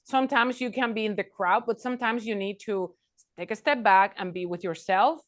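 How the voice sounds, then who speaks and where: A woman's voice: medium pitch, moderate pace, fairly bright tone. A woman hosting a podcast interview, agreeing with her guest and summing up her points. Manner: affirming, sums up the guest's points. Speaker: podcast host. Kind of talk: conversational interview.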